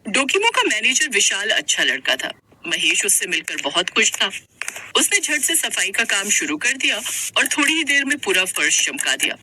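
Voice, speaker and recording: A woman's voice: mid-range voice, sing-song, moderate pace, sharp tone, a little hiss or hum. A woman narrator reading a scripted moral story in Hindi.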